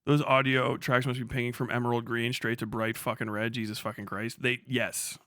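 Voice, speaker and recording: A man's voice: medium pitch, level tone, a little fast, bright, forceful tone, dry recording, clean studio sound. A man hosting a livestream with casual, slangy, profanity-laden commentary. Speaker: livestream host.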